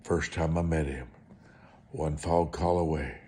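A man preaching through personal storytelling, speaking in short, deliberate, emphatic phrases and repeating them for effect. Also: very deep voice, steady tone, mellow. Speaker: preacher and author telling testimony. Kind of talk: storytelling sermon monologue.

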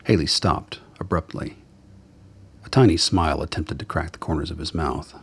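A man's voice: very deep voice, moderate pace, slightly rough. A man reading scripted narration for a radio drama.

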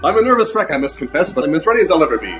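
A man's voice: a little high, sing-song, racing, a bit bright, faint music behind. A man playing a vain, nervous movie star with a theatrical, pompous manner in an improvised radio comedy. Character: vain, nervous male movie heartthrob with large appetites. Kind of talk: improvised classic radio drama parody.

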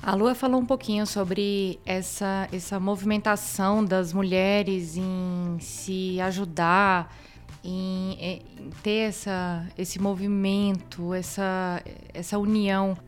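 A woman's voice: mid-range voice, fairly even intonation, takes it slowly, faint music behind. A woman with a Brasília accent presenting a podcast and putting questions to guests as host. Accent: Brazilian, Brasília.

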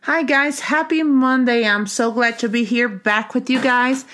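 A woman's voice: a little high, measured pace, fairly bright tone. A woman casually greeting her viewers at the start of a video. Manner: chatty, addressing viewers directly. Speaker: vlogger.

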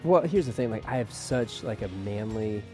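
A woman's voice: a bass voice, expressive, moderate pace, mellow, faint music behind. A woman hosting a podcast interview, chatting casually and joking freely with her guest. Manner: playful, joking, loose and chatty. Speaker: podcast host. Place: studio with headphones.

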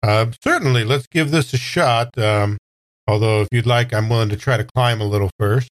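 A man's voice: medium pitch, moderate pace, no echo, natural voice. A man playing a weary, questioning man with a dry manner in improvised audio drama roleplay. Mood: guarded, curious.

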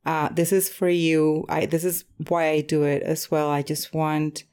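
A woman with a low-pitched voice speaks as a podcast host. She talks in a casual, conversational monologue, addressing her listeners directly as if one to one. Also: darkish tone.

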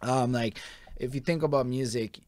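A man's voice: medium pitch, fairly even intonation, moderate pace, a little mellow, dry recording. A young man hosting a podcast interview, talking casually with a slangy, storytelling manner. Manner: laid-back, slangy, storytelling with lots of "like". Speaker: podcast host.

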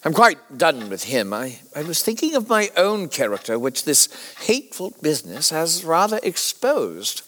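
An anguished Victorian gentleman doctor, played by a man, in a period audio drama. His fairly high voice rises and falls dramatically, and he speaks haltingly and fervently, as if hiding something. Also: huge pitch swings, full character voice. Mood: uneasy, evasive.

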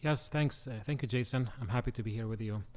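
Animated man. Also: medium pitch, fast. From Palestine. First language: Arabic. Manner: animated, jokey, explanatory. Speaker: guest, doctoral student. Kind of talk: interview conversation.

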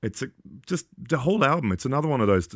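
A man with a low voice talking casually about an album, hesitant and laughing often as he goes. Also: very animated, fast talker, dry recording, clean studio sound. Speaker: podcast guest. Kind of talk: casual music discussion.

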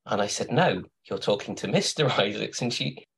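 Low, husky man rambling. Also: lively intonation, normal speed. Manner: rambling, playful storyteller. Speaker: podcast host. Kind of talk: casual chat.

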